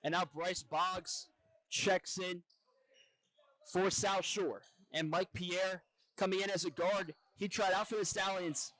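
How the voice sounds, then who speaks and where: High-voiced man calling basketball play-by-play. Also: steady tone, slow pace, fairly bright tone, no room sound, low-quality recording. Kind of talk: live TV sports commentary.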